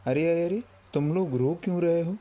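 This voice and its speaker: A man's voice: on the high side, moderate pace, dark tone, lightly acted. A man playing a kindly, caring village schoolmaster near retirement in a cartoon story. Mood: gentle, reassuring. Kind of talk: Hindi cartoon story dialogue.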